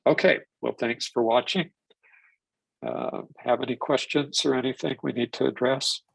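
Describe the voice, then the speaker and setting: A man's voice: medium pitch, moderate pace, vocal fry, fairly bright tone, breathy edge, no echo, rough recording. An older man presenting a genealogy webinar, stuffed up from a cold, rambling with restarts and fillers. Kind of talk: live webinar lecture.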